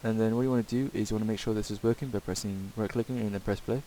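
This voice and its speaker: Guy doing a casual screen tutorial, really mellow voice, bit rambly, faint hiss on the mic. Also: on the low side, fairly even intonation, rapid-fire, low-quality recording. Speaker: tutorial presenter. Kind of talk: casual screen-recorded software tutorial, improvised. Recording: hissy home microphone recording.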